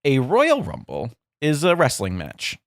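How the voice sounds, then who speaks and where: A man's voice: mid-range voice, huge pitch swings, measured pace, creaky, no echo, studio quality. A young adult man hosting a casual podcast, joking and playful, sounding a little congested and nasal. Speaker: podcast host. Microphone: studio microphone.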